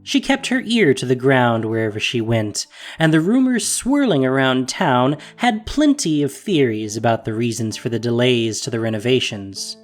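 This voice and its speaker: A narrator reading a fantasy story in a measured, wry manner. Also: mid-range voice, expressive, soft background music.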